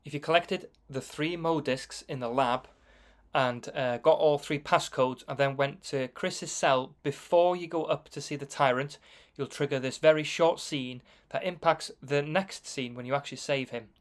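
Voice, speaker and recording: A man's voice: on the high side, normal speed. A man giving casual, explanatory voice-over commentary on a video game.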